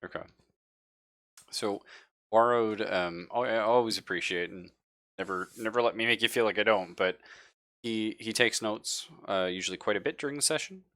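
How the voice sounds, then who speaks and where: Man, game master, lowish pitch, close dry sound, casual, encouraging, meandering phrasing. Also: normal speed, sharp tone, no room sound. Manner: easygoing, encouraging. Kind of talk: casual live tabletop roleplaying session.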